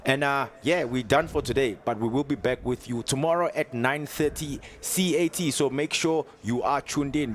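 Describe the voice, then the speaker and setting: A man hosting a studio panel for an esports event, teasing the guests and wrapping up the day. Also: medium pitch. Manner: jokey, quick asides.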